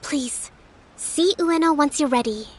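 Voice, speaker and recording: A woman playing a gentle young priestess who leads her people in a fantasy game, speaking in a measured, thoughtful, polite way. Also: high-pitched, slight background noise, low-quality recording. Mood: gentle, resolved. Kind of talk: scripted fantasy game acting.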